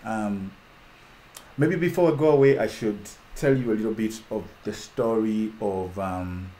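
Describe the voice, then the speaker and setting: A man's voice: lowish voice, unhurried, dark tone, a little hiss or hum. A man from Uganda hosting a casual talk with an online audience. He speaks with fervent, repetitive rhetoric and trails off with ums. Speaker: host.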